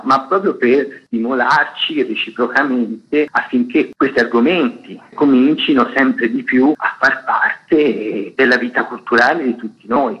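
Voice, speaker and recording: Man, husky tone, muffled lo-fi line, articulate interview guest, explanatory. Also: medium pitch. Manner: articulate, long-winded explanatory sentences. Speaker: festival artistic director, interviewed guest. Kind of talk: radio interview, explaining a programme.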